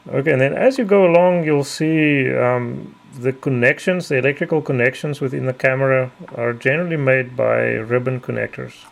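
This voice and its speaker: A middle-aged man whose first language is Afrikaans, narrating a repair tutorial in a measured, careful way with frequent ums. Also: mid-range voice, vocal fry. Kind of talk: explanatory voice-over.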